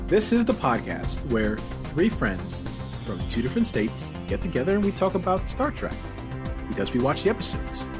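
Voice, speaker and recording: A man's voice: on the high side, very animated, moderate pace, music in the background. A man hosting a casual podcast chat in a playful, teasing manner. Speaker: podcast host.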